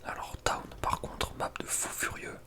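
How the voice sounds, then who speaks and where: A young man whispering casual ASMR gaming commentary in an informal, slangy, hesitant way. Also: measured pace. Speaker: ASMR video creator.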